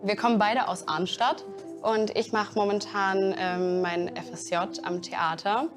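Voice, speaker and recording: A woman's voice: mid-range voice, fairly even intonation, measured pace, music in the background. An eighteen-year-old woman musician, talking earnestly and casually about her song. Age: teens. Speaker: musician in a duo. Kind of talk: interview statement in a film.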